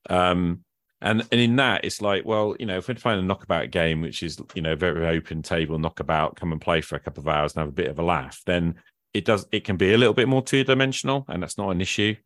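A man's voice: low voice, fast, fairly bright tone, dry recording. A man hosting a casual, reflective conversation, often ending his points with little questions to the listener. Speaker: podcast host. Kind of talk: casual conversation about roleplaying games.

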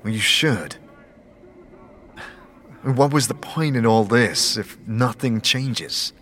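A man's voice: medium pitch, slow, a bit bright, a little hiss or hum. A man playing a blunt, brooding wanderer in a fantasy audio drama, terse and demanding. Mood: stern.

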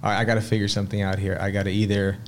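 Brief: late-30s Swedish-born man, low-pitched, unhurried storyteller, faint background hum. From Sweden. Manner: relaxed, reflective storytelling with frequent "uh" and repeated words. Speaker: restaurant owner, podcast guest. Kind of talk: casual interview conversation.

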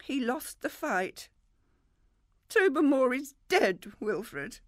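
A woman's voice: high voice, big pitch swings, slow, no room sound, studio quality, exaggerated voice. A woman playing a genteel, indignant English upper-class hostess in an audio play, mannered and emphatic. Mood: grave, regretful.

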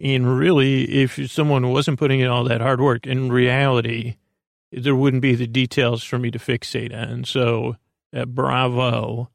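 A man with a creaky voice giving a lulling, meandering, halting bedtime podcast monologue as host, in a self-deprecating way. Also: mid-range voice, level tone, no echo, studio quality. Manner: lulling, soothing.

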